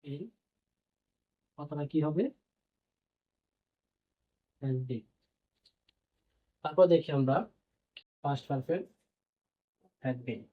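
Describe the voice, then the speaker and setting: A man's voice: on the high side, steady tone, very slow, pauses often. A man teaching a grammar lesson, repeating points and answering his own questions as he explains. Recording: dull, lo-fi band-limited sound.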